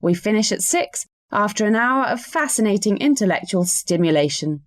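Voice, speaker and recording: A woman's voice: mid-range voice, lively intonation, normal speed, no echo, lightly acted. A woman voicing a university professor welcoming new students, with dry, teasing humour, in a scripted listening-test recording. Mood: wry, welcoming. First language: Czech. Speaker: lecturer.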